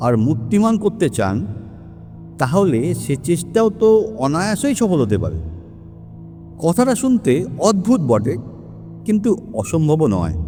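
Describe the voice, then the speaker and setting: An actor playing a learned, methodical male detective in an audio story, laying out his reasoning on a supernatural case in a deliberate, emphatic way. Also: high voice, warm tone. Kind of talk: dramatized audio story monologue. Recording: long hall reverb, music underneath.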